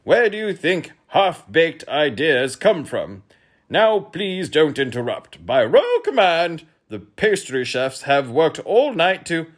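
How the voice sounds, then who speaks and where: A man's voice: a little high, big pitch swings, takes it slowly, voice pushed up, heavily acted. A man voicing a pompous, irritable storybook king with a commanding, snappish manner. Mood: angry, snapping.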